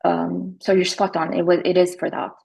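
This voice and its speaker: A woman in her forties, from Iraq, talking reflectively as an interview guest, often pausing with fillers and restarting her sentences. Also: on the low side, level tone, gravelly, a little mellow, breathy edge, highs cut off. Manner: thoughtful, many fillers and restarts.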